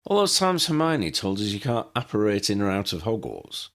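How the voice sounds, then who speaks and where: A man's voice: lowish voice, huge pitch swings, moderate pace, a bit bright, pitched below the performer's own voice, heavily acted. A man voicing a blunt, informal, puzzled young male friend in an audiobook.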